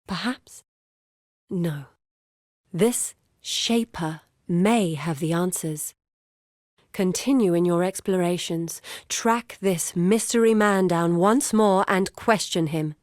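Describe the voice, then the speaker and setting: An actress voicing an earnest, guarded woman explorer in a video game, speaking in a measured, grave and deliberate way. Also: lowish voice, darkish tone, no room sound, studio quality. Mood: probing, uneasy. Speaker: video game character voice actor. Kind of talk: scripted game character dialogue.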